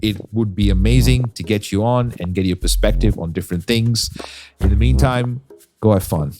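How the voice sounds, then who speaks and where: A man hosting a podcast, delivering upbeat scripted narration in a smooth announcer style over a music bed. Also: on the low side, moderate pace. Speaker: podcast host.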